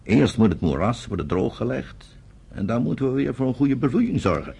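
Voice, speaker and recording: A man's voice: medium pitch, sing-song, moderate pace, creaky, warm tone, slightly rough, full character voice. An actor playing a thoughtful, hesitant pioneer settler in his fifties in a radio drama. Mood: hesitant, concerned. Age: 50s.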